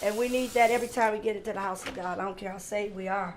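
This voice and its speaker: A middle-aged woman with a hoarse voice, teaching a cooking lesson in a home kitchen in a motherly, instructive way with talk of God. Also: mid-range voice, fairly even intonation, racing, a little hiss or hum. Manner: motherly, instructive, breaks into loud laughter. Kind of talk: casual cooking chat with church talk.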